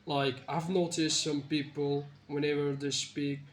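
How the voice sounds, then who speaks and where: Young man, earnest rambling tutor, monotone, phone-recorded, faint room hum. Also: fairly high pitch, measured pace. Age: young adult. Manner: earnest, rambling with fillers and restarts. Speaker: English-speaking tips presenter. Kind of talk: casual spoken lesson to camera. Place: small indoor room, plain wall. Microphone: phone camera close up. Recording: echoey room with faint ambience.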